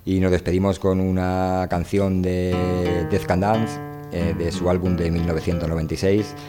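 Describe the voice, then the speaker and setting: A man hosting a radio show, talking casually about books in a hesitant, halting way. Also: sits low, level tone, over music. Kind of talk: casual book talk.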